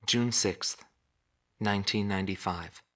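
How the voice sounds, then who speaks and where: An actor playing a serious investigative documentary maker, narrating a war history in a video game with a measured, grave delivery. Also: male voice, fairly low pitch, heavy background noise, close to an everyday voice. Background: loud music.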